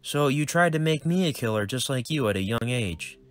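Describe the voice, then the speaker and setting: A man voicing an eager, excitable teenage boy in a homemade comedy skit, his voice pushed high. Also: moderate pace, soft background music, lightly acted. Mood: surprised.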